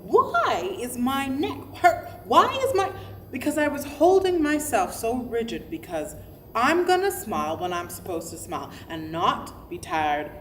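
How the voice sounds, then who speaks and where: Smiley, animated woman pastor played by an actress. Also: fairly high pitch, a little hiss or hum. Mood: candid. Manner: animated, restarts phrases, chuckles between thoughts. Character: optimistic, smiley woman pastor, unapologetically herself. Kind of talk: verbatim theatre monologue, staged reading.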